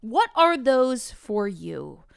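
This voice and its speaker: A woman hosting a podcast for teachers, talking in an energetic, chatty, enthusiastic way. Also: a little high, drawn-out words, creaky, smooth, no echo, clean studio sound. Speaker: podcast host. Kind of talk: upbeat podcast talk to teachers.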